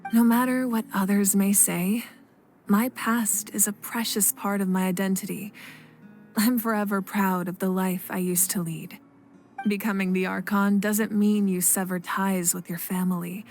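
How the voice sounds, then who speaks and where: A woman's voice: mid-range voice, steady tone, moderate pace, close to an everyday voice. A woman as composed, noble young warrior queen. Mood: fond, reflective. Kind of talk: video game voice acting. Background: faint background music.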